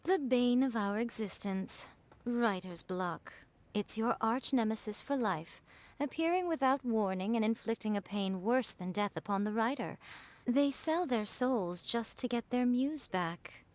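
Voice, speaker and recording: A woman's voice: a little high, animated, a little slow. A woman voicing a sly, elegant fox-spirit lady in a video game, self-assured and teasing, with a poised, condescending way of talking. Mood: mock regretful. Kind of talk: video game character voice acting. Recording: background music.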